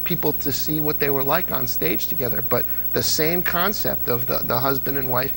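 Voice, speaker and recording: A man with a gravelly, creaky edge to his voice talks casually as an interview guest. He explains and tells anecdotes with keen enthusiasm. There is faint noise behind him. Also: medium pitch, moderate pace, a bit bright, rough recording. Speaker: interview guest, old-time radio fan.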